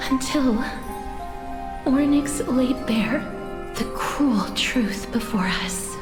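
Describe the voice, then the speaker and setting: A woman voicing a gentle, melancholy young woman in a video game, with soft, breathy, solemn and formal delivery. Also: on the high side. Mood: quietly resolute. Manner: measured, solemn, formal phrasing. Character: gentle, melancholy young woman resigned to fate, touched by death. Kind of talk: English video game voice acting, dramatic monologue and dialogue. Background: game music.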